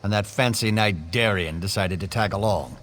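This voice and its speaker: A man's voice: on the low side, moderate pace, fairly bright tone, slight background noise, natural voice. An actor playing a hot-tempered male nobleman who gives blunt, commanding orders.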